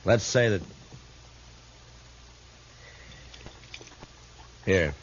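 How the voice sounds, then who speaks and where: An actor playing a calm, stern, world-weary frontier marshal with a terse, dry delivery, in 1950s radio drama style. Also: male voice, low-pitched, normal speed, breathy edge. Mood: firm, kindly. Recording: scratchy, muffled 1950s radio recording.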